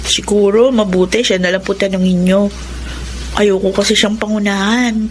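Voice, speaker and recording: A woman's voice: mid-range voice, fairly even intonation, moderate pace, lightly acted. A Filipino woman acting in a radio romance drama as a playful, teasing young student and confidante. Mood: evasive. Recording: faint noise on radio drama track.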